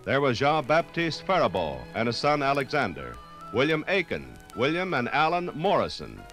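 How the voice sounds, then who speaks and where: A man's voice: medium pitch, huge pitch swings, normal speed, a bit bright, faint music behind. A man with an American accent reading scripted narration for a 1950s radio documentary.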